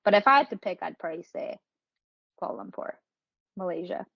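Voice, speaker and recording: A woman talks as an interview guest in a reflective, conversational way. Her pitch is a little low for a woman. The recording is very dry and slightly dull, with no room sound. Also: animated, brisk, creaky, slightly rough, no echo. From born in Pakistan, grew up in several countries. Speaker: podcast guest, marketing professional.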